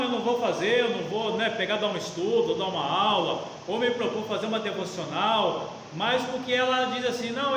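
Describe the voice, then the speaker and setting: A man's voice: very high-pitched, fairly bright tone. A Brazilian man preaching conversationally as a pastor leading a Bible study, emphatic, repeating phrases and asking rhetorical questions. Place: reverberant church meeting room. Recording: very echoey hall, faint noise.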